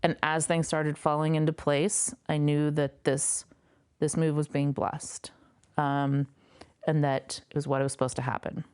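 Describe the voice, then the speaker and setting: Low, smooth-voiced woman telling her story. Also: level tone, a little mellow. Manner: reflective, unhurried, with frequent fillers. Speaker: guest. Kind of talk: personal storytelling in conversation. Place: a home.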